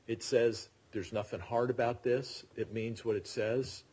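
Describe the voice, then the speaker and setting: A man presiding as a judge, questioning lawyers in court with a probing, halting manner. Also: mid-range voice, steady tone, dark tone, a little hiss or hum. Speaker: appellate judge.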